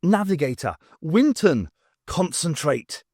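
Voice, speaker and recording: A man voicing a brisk, determined adventurous woman, wry and commanding, in an audiobook reading. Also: low-pitched, sing-song, mellow, husky, dry recording, clean studio sound, voice pushed up, full character voice.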